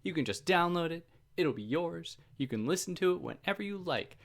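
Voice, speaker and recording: A man talks through a philosophy text in a relaxed, chatty way, as if explaining it to a friend. He jokes at his own expense and slips into side points before coming back on track. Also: a little high, brisk. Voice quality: soothing voice. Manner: chatty, self-deprecating, goes off on tangents. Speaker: philosophy podcast host. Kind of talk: casual explanatory lecture.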